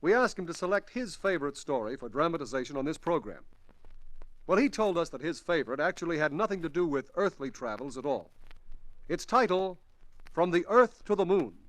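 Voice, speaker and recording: A man's voice: a little high, big pitch swings, a little fast, a bit bright, dry recording, rough recording. A man announcing a program in 1940s radio style. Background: faint music.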